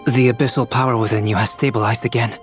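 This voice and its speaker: A calm, scholarly alchemist voiced by a man in a video game. His medium-pitched voice is measured and formal, with clear music playing behind it. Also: natural voice. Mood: calm, thoughtful. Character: composed, scholarly male alchemist, calm and courteous.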